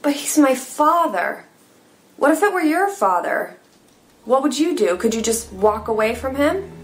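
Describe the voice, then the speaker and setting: A woman's voice: sits high, very animated, a little slow. A woman playing a villain's devoted, anguished daughter, emotional and earnest in a dramatic screenplay read. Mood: desperate pleading.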